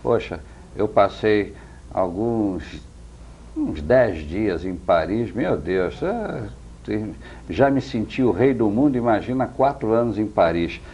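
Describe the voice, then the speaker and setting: A man's voice: on the low side, lively intonation, slow pace, warm tone, a little hiss or hum. A Brazilian man hosting a chatty TV interview, effusive and addressing the viewers as friends. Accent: Brazilian Portuguese. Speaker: interviewer and show host. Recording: dull lo-fi TV sound.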